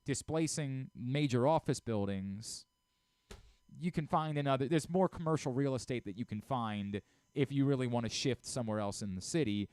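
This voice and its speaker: A man hosting a casual sports talk show from a radio studio. His voice is clear and resonant at an average pitch, with very little room sound. He talks emphatically, repeating phrases to drive the point home. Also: normal speed, darkish tone, no room sound.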